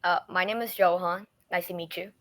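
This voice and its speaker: A speaker from Taiwan practicing English on a video call, hesitant and full of fillers. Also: male voice, sits high, steady tone, fairly quick, a bit bright. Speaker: English learner. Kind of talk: casual conversation.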